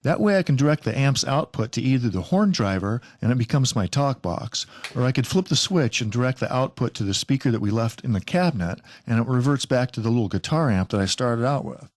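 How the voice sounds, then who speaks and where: A man's voice: medium pitch, animated, brisk, hardly any pauses, no echo, clean studio sound. A man presenting a casual DIY tutorial in a plain-spoken, lightly wry way. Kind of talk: casual how-to narration.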